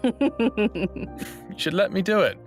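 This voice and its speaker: Slow-talking smartass human rebel. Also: male voice, high-pitched, lively intonation, heavily acted. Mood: teasing. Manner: sardonic, teasing. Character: defiant, smartass thirty-eight-year-old human male rebel. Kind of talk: audio drama acting. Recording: music behind dramatic dialogue.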